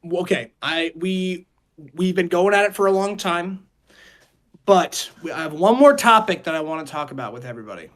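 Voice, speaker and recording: A man hosting a casual chat show, talking in a rambling, halting way and often repeating his words. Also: high-pitched, animated, a bit bright. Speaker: podcast host.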